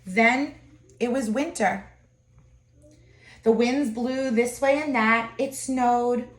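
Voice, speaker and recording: High-voiced woman reading a storybook aloud, echoey. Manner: expressive, pausing to comment to listeners. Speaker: storybook reader. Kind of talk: children's picture book read-aloud with asides. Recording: echoey room.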